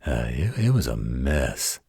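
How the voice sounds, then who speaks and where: Gruff male werewolf guardian acted by a man, extremely low and raspy, tender folksy bedtime storyteller for an intimate audio roleplay. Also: very animated, dry recording, studio quality, exaggerated voice. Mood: folksy storytelling. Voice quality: very deep, gravelly, husky. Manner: folksy, unhurried, gently wry.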